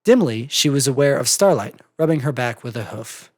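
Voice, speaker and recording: A person reading a story aloud as a scripted narration, in an expressive, dramatic storytelling way. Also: mid-range voice, normal speed, dry recording, clean studio sound. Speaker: fan fiction reader.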